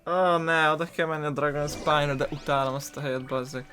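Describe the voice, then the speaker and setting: A man's voice: on the high side, fairly even intonation, fast talker, sharp tone. A young man from Hungary giving casual, blunt, grumbling commentary as he plays a video game. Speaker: gaming video host. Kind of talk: casual, sweary let's-play commentary. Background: video game music.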